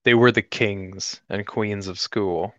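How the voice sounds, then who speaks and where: A man's voice: lowish voice, fairly even intonation, takes it slowly, fairly bright tone, no echo. A twenty-one-year-old man in casual podcast conversation, easygoing and joking, on a slightly dull recording. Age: 21, young adult. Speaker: podcast guest or co-host.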